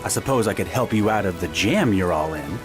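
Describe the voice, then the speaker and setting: Polite strategist, wry, low voice, loud music under him. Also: male voice, lively intonation, brisk. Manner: courteous, hesitant fillers, wry. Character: eccentric polite male strategist, confident. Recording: game audio with loud music.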